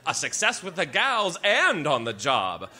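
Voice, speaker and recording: A man's voice: a little high, wildly swooping pitch, a little slow, pushed and bright, full character voice. A man acting a peppy, folksy 1940s-style radio announcer and storyteller in a live radio play. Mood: peppy salesman. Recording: live stage with music underneath.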